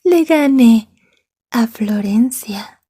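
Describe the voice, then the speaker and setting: An obsessive yandere schoolgirl for an ASMR drama. Her high voice is very slow and breathy, sweetly polite on the surface and full of gloating menace underneath. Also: lively intonation, mellow, no room sound, studio quality, exaggerated voice. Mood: smitten, gloating.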